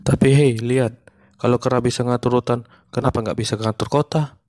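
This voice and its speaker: Deadpan monkey comic, voiced by a man. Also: mid-range voice, brisk, no echo, studio quality, natural voice. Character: male monkey stand-up comic running for mayor. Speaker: comedian. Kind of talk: stand-up comedy routine.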